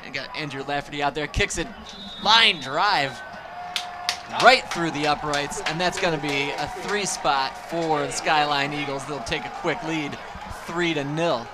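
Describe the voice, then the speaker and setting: A man calling steady, descriptive play-by-play for a high school football game from a press box, with outdoor noise behind him. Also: unhurried, crowd noise. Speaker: play-by-play commentator. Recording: noisy outdoor stadium feed.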